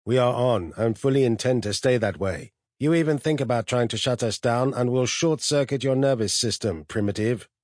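A man voicing a disdainful, boastful super-intelligent computer in a loud, sharp, insulting manner for an audiobook. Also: mid-range voice, lively intonation, brisk, no echo, clean studio sound, pitched above the performer's own voice, close to an everyday voice. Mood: disdainful threat. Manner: haughty, insulting.

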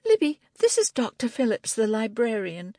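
A woman's voice: on the high side, sing-song, normal speed, warm tone, no echo, clean studio sound, voice pushed up. A woman narrator voicing an earnest, peacemaking woman who knits, in an audiobook. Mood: flustered, apologetic. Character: earnest woman knitter, apologetic peacemaking friend.

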